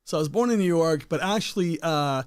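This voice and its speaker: A man's voice: fairly high pitch, animated, quick pace, no echo, studio quality. A man in his fifties from Colombia, a native Spanish speaker, chatting casually as a guest, laughing and rambling a lot. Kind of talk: casual chat.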